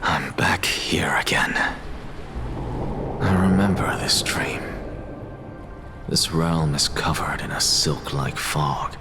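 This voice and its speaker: Very deep, brooding male wanderer. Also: slow pace, long pauses, in a whisper, over music, exaggerated voice. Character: brooding male wanderer narrating his own strange dream. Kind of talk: scripted inner-monologue narration in a video game.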